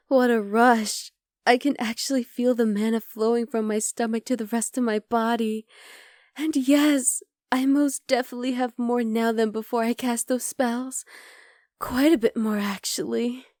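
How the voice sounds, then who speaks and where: A woman voicing a scheming, possessive witch in an ASMR roleplay, speaking softly and often half-whispering close to the mic. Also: on the high side, steady tone, unhurried, dry recording, lightly acted. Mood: exhilarated. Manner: soft, intimate, coolly calculating, often half-whispered. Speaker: voice actor.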